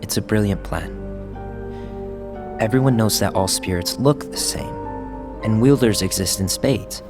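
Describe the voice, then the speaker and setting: An actor playing a cool, calculating member of a fantasy order in a scripted game scene. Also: male voice, lowish voice, measured pace, over music, natural voice. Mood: dry. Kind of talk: scripted game cinematic acting.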